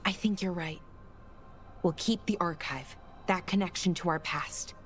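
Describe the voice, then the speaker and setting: An actress plays a young female elf scholar from a fantasy video game. She is earnest and torn, choosing her words haltingly and thoughtfully. Music plays underneath. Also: fairly low pitch, natural voice. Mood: sad, resigned. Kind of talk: dramatic video game dialogue.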